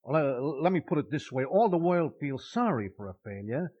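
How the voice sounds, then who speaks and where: Muffled vintage-radio New wiseguy. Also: male voice, on the high side, big pitch swings, normal speed, slightly rough. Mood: wry, offhand. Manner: colloquial, mock-formal phrasing. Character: chatty ex-convict informant, dry New York underworld wiseguy. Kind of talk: old-time radio crime drama acting.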